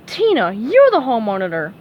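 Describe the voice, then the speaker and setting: A woman's voice: on the high side, wildly swooping pitch, moderate pace, a little mellow, muffled recording, a little hiss or hum. A woman voicing a weary, exasperated female pufferfish teacher who sighs and stammers in a storybook reading. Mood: flustered. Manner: flustered, sighing, exasperated. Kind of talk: storybook read-aloud.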